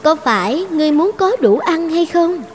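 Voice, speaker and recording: A woman playing a cunning, lonely sea fairy who bargains with mortals in an animated fairy tale. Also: extremely high pitch, moderate pace, a little hiss or hum. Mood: commanding.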